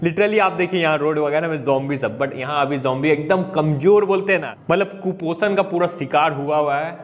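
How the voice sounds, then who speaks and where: A man's voice: fairly high pitch, a little fast. A young man with an Indian accent giving upbeat, chatty commentary on a game update, mixing English words into his talk. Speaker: gaming YouTuber. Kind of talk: excited gameplay update commentary.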